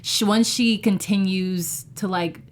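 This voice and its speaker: A woman panelist in casual chat, halting and circling her point with plenty of filler words. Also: medium pitch, steady tone. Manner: halting, full of "like". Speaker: talk show panelist.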